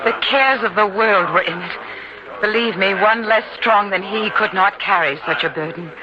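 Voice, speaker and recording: A woman's voice: medium pitch, moderate pace, background noise, close to an everyday voice. A woman playing a devout, determined mother in an old-time radio drama, earnest and firm in her delivery. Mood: reflective. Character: devout, determined mother, weary but unyielding. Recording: muffled old radio recording, crowd behind.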